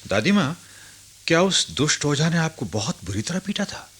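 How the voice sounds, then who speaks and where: A man acting a respectful, curious grandson in a Hindi radio drama, speaking and narrating in an earnest, deliberate way. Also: fairly high pitch, sing-song, a bit bright, slightly rough, full character voice. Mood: hesitant, respectful. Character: respectful, curious grown grandson who narrates his memories.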